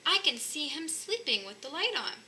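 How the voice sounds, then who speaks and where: Woman as outspoken little girl, bright and high. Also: very animated, moderate pace, a little hiss or hum, full character voice. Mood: eager. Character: outspoken forgetful young bear girl, Phoebe.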